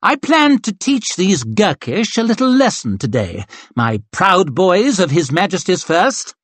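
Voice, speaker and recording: A man's voice: sits high, very animated, a little slow, a bit bright, no echo, voice pushed up, heavily acted. A man putting on a sneering arrogant colonel. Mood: haughty. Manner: sneering, theatrical, cutting. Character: arrogant, mocking male cavalry colonel.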